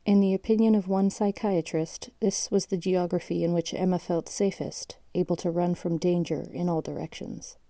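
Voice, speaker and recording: An actress plays a detached case-file narrator in an audio drama. Her female voice is slightly low and extremely mellow, recorded close and dry. The delivery is measured, sober and factual. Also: steady tone, no echo, lightly acted. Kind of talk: scripted documentary-like narration.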